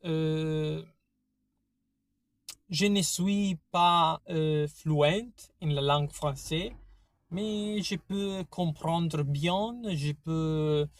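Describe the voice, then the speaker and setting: A man with an Italian accent talking to his viewers in a casual monologue, halting often with fillers and restarts. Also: a little high, dry recording. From Italy. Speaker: vlogger. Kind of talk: casual opinion monologue to camera.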